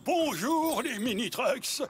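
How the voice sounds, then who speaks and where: Camion père Noël jovial et chantant. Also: male voice, extremely high pitch, animated, slow pace, heavy background noise, exaggerated voice. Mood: jolly. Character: jolly male Santa truck, big-hearted and hearty. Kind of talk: children's cartoon voice acting.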